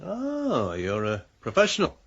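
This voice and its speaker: An actor playing a smooth, flattering male vet in a radio drama, soothing and suave in his flirting. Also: a little high, measured pace, dull audio, full character voice. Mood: flirtatious.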